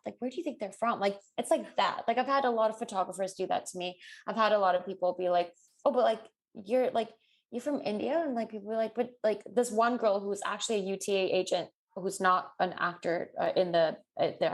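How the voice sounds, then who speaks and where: Animated woman chatting on a podcast. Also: mid-range voice. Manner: animated, acts out quoted people in a raised voice. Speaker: podcast co-host. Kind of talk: casual podcast chat.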